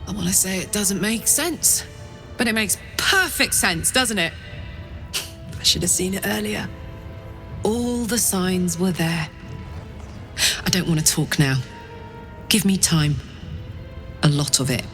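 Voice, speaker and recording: A plain-spoken, guarded young woman in a fantasy game. Her voice is bright and tense, moving slowly and haltingly over soft music. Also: mid-range voice, animated. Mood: hurt, betrayed. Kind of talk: game dialogue acting.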